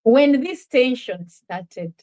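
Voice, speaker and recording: A woman's voice: high-pitched, expressive, slow, mellow. A woman from Uganda with a Ugandan accent, giving an earnest, reflective account of her own life as a guest in a conversational interview. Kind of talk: personal testimony in conversation.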